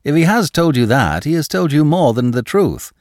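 A man's voice: fairly high pitch, very animated, normal speed, darkish tone, no room sound, pitched above the performer's own voice. A man voicing a witty young lawyer who answers coldly and with dry irony. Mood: cool. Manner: cold, ironic. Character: cool, witty young lawyer, dryly sarcastic.

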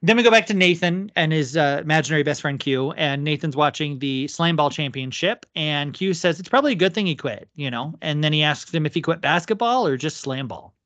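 A woman's voice: low voice, lively intonation, a little fast, hardly any pauses, a bit bright, no room sound. A woman co-hosting a podcast, chatting casually through a TV episode recap in an agreeable way. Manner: agreeable, frequent short affirmations. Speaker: podcast co-host.

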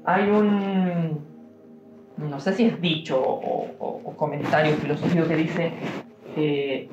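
A young man with a Chilean accent giving an informal devotional talk, musing aloud with pauses and fillers. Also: a little high, steady tone, a little mellow, soft background music. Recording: roomy, dull-sounding room recording.

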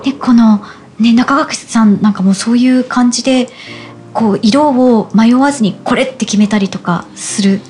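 Bright-voiced woman hosting, eager reactions. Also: fairly high pitch, normal speed, slight background noise. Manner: eager listener, frequent reactions. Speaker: host. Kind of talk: casual interview chat.

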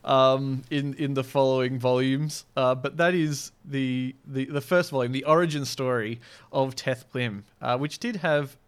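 A man's voice: mid-range voice, steady tone, a little slow, clear, resonant tone. A man hosting a podcast, reading his own sci-fi story in a mock-dramatic, self-amused way and chatting casually. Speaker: podcast host and amateur story writer.

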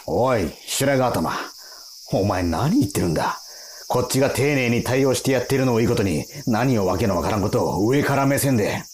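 An actor in a radio drama playing a gruff, cocky time-patrol officer, rough and condescending, easily irritated. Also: male voice, medium pitch, expressive, moderate pace, darkish tone, slight background noise, natural voice. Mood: stern, threatening. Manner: condescending, rough interrogator talk.

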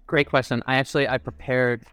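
A man's voice: mid-range voice, level tone, moderate pace, a bit bright, clear, resonant tone, no echo, soft background music. A man with an American accent, a native English speaker, chatting casually as an interview guest. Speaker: interview guest, resident physician. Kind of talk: casual, friendly interview chat.

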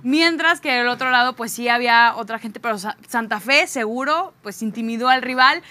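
Mujer mexicana, comentarista deportiva desenfadada y sin filtro, timbre muy brillante. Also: female voice, fairly high pitch, moderate pace, bright, forceful tone. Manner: outspoken, blunt, slangy. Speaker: sports show panelist. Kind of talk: casual sports chat.

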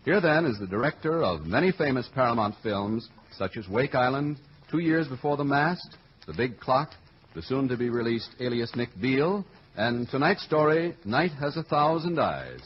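A man's voice: medium pitch, expressive, a little hiss or hum. A man with an American accent announcing in a formal, dramatic 1940s radio style. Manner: formal, dramatic, grandly measured. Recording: muffled vintage radio broadcast with music.

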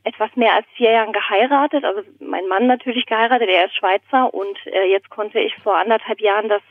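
A woman's voice: medium pitch, brisk, no echo. Woman on a thin phone line. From Germany, born in Berlin, raised in southern Germany. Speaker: phone-in podcast guest. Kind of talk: casual conversation, telling anecdotes and a joke. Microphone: phone call. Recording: thin, narrow telephone line.

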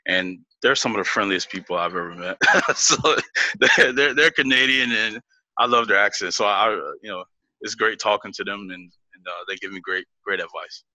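A man's voice: fairly low pitch, normal speed, bright, forceful tone, dry recording, low-quality recording. A man as an interview guest, laughing easily and explaining things step by step with many restarts. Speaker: business guest. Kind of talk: casual interview talk.

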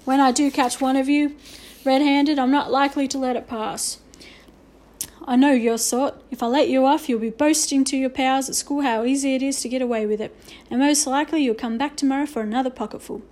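A woman's voice: a little high, fairly even intonation, brisk, natural voice. A woman voicing an angry, suspicious middle-aged male shopkeeper from a storybook, with a heavy, scornful manner. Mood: angry, scolding. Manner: heavy, forceful, scornful scolding.

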